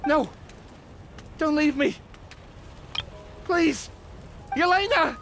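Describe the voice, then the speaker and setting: A man's voice: very high voice, extremely slow, fairly bright tone, slight background noise, full character voice. A male actor playing an anguished, guilt-ridden man in mourning, with an emotional, pleading delivery for a video game. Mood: desperate pleading. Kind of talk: dramatic video game voice acting.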